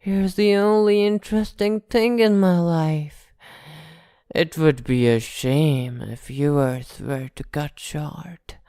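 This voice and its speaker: A woman playing an arrogant, possessive vampire captor in an ASMR roleplay, haughty, teasing and softly threatening. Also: deep voice, slow pace, clean tone, no echo, studio quality. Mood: possessive, gloating.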